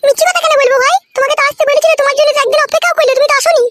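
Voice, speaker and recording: A performer voicing a plain-spoken young village woman in a comic cartoon, shrill and matter-of-fact. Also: steady tone, very fast, very noisy.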